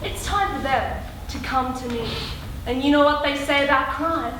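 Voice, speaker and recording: A woman on stage playing an excitable, bumbling reporter who addresses the audience in an animated, theatrical way. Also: sits high, normal speed, lightly acted. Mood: determined. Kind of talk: live comedic stage acting. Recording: echoey noisy hall with audience, from far off.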